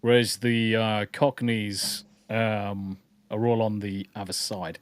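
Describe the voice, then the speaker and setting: A man's voice: fairly low pitch, little pitch movement, slow pace, slight background noise. A man co-hosting a casual podcast livestream, joking and swearing freely while greeting viewers in chat. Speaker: podcast co-host.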